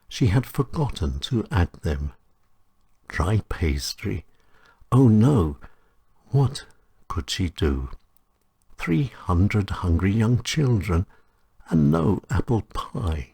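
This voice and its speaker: A man with a husky voice reading short stories in a measured, dramatic way, with short phrases and pauses. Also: low-pitched, darkish tone. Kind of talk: scripted short story narration.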